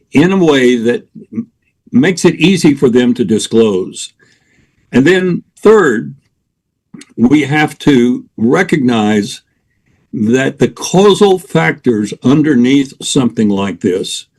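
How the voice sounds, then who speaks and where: An older man, a guest on a talk program, explaining his approach in a measured, deliberate way, listing points one by one. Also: medium pitch, darkish tone. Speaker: guest expert on interventions. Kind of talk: radio talk show interview.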